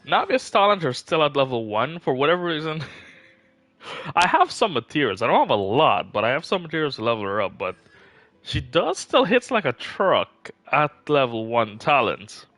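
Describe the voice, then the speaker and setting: A man's voice: on the high side, very animated, normal speed, a bit bright, no echo. A man livestreaming a game, chatting casually in a rambling, informal way. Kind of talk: casual livestream chat about game builds.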